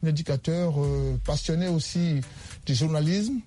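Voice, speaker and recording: A man from the Ivory Coast, a guest author and teacher, answering interview questions with many pauses and fillers. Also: on the high side, steady tone, mellow, slight background noise.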